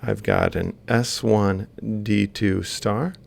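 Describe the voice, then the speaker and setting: Very creaky, low-voiced man lecturing. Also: steady tone, takes it slowly. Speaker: university robotics lecturer. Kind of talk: informal step-by-step worked-example lecture. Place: screen-recorded lecture voice-over.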